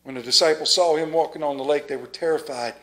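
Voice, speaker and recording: Middle-aged male pastor, high voice, animated sermon delivery with pauses, small church sanctuary. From United States. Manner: animated, moves about the pulpit, dramatic pauses. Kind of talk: sermon with scripture reading. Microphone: stand mic at pulpit, far camera.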